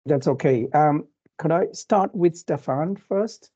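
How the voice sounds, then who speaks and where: Man, panel host, mellow voice, polite, hesitant, lo-fi call. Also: a little high, slow pace. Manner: polite, hesitant, many fillers. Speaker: panel moderator. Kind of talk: hosting a webinar panel.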